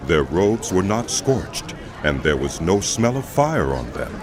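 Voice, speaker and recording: A man's voice: sits low, big pitch swings, unhurried, a crowd in the background. A man narrating a dramatized audio Bible with solemn, emphatic storytelling.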